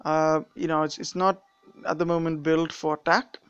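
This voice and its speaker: A man's voice: on the high side, little pitch movement, moderate pace, a bit bright, clear, resonant tone, no echo, highs cut off. A young man with a mixed British and Indian accent, casually commenting on a mobile game during a live stream, rambling with many fillers and restarts.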